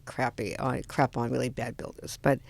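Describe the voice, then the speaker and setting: A woman's voice: a bass voice, level tone, moderate pace, creaky, no room sound. A woman hosting a radio talk show, chatty and enthusiastic, teasing her guests in casual talk.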